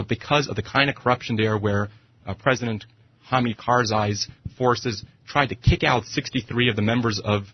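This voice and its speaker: A man's voice: on the low side, husky, highs cut off. An American man arguing politics as a guest on talk radio, speaking emphatically and repeating phrases to press his point. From United States.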